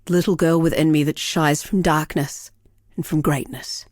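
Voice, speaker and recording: An actress playing a proud, sardonic villainess and world-weary killer in a video game, speaking in a theatrical, measured way. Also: female voice, deep voice, fairly even intonation, a little mellow, no echo, clean studio sound, natural voice. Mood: brooding, sardonic. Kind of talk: dramatic scripted game dialogue.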